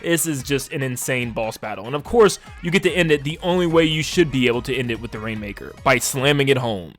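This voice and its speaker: A man's voice: mid-range voice, animated, a little fast, fairly bright tone. A man giving casual, enthusiastic and emphatic video essay commentary as a conversational game reviewer. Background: music under the voice.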